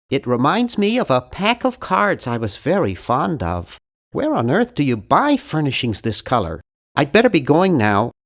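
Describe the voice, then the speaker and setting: A man voicing a nerdy, timid young adventure-game hero, bookish and polite, with a nasal voice and an awkward, earnest, fussy manner. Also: on the high side. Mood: polite, deadpan. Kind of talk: video game character voice lines.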